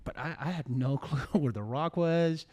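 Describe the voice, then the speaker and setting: A man's voice: fairly high pitch, moderate pace, darkish tone. A man from Peru hosting a podcast, interviewing a guest in casual chat and chiming in eagerly. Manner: eager, chimes in often.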